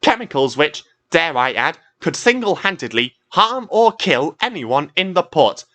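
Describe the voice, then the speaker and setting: A man's voice: a little high, big pitch swings, unhurried, pushed and bright, pitched above the performer's own voice, exaggerated voice. A man doing a stern coast guard boat. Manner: stern, lecturing.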